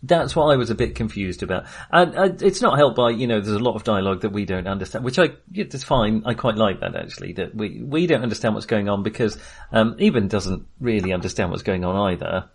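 A man's voice: lowish voice, very animated, fast talker, vocal fry, hardly any pauses, slightly rough. A male podcast co-host talks casually about film in a mid-range voice. He meanders and hesitates as he goes, and tends to chuckle along the way.

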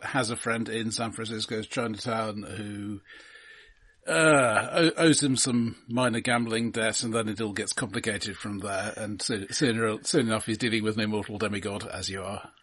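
A man's voice: lowish voice, steady tone, normal speed, a bit bright, husky. A man co-hosting a podcast, chatting casually about films with dry, understated humour. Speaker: podcast co-host. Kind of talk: casual film discussion.

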